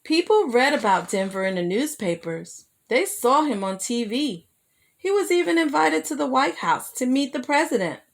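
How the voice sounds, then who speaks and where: A woman reading a children's story aloud as a storyteller, in an expressive manner that draws young listeners in. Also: sits high, normal speed, creaky.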